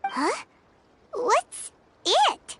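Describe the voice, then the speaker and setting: A woman voicing a tiny, childlike, curious companion sprite in a video game, squeaky and quick to blurt out reactions. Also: huge pitch swings, noisy background, full character voice. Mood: surprised, worried.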